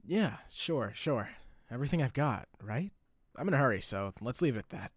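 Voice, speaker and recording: A man's voice: mid-range voice, very animated, moderate pace, slightly rough, no room sound, studio quality. A man voicing a cocky, hot-headed young shut-in in an anime fan dub, with a brash, taunting, theatrical delivery. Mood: sarcastic, taunting.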